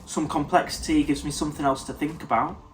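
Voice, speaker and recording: Британец с заложенным носом. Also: male voice, on the high side, fairly even intonation, fast, faint music behind. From United Kingdom. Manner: thoughtful, stops and restarts mid-sentence. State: nasal, congested. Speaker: whisky reviewer. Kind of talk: casual tasting review to camera.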